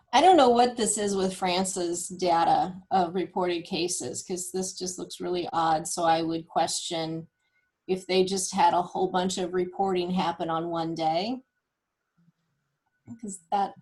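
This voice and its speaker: A woman giving an informal walkthrough of data, thinking aloud as she goes in a halting way. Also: on the low side, steady tone, darkish tone. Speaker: guest presenter explaining data; cancer research nurse.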